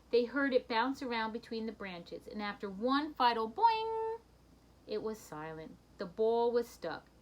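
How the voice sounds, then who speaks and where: A woman reading a children's picture book aloud in an expressive storytelling manner, with brief asides to her listeners. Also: on the high side, poor audio.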